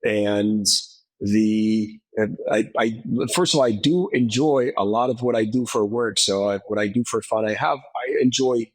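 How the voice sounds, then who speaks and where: A man's voice: lowish voice, unhurried, dry recording, rough recording. A man from Israel, a dermatologist guest, answering in a hesitant way with many fillers and restarts. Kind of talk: interview answers.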